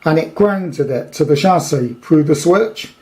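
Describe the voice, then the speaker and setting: A man with a soft, mellow tone and a slightly high pitch talks through a repair job at his workbench. He is casual and unscripted, rambling a little as he thinks aloud in a matter-of-fact way. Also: lively intonation, measured pace. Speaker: hobbyist radio repairer presenting his video. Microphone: handheld camera, speaker behind it.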